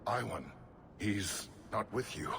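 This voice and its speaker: An actor playing a solemn, grieving older merchant father in a video game, with a gravelly voice. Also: lowish voice, lively intonation, takes it slowly, heavily acted. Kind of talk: video game cutscene acting. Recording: noisy ambience behind the voice.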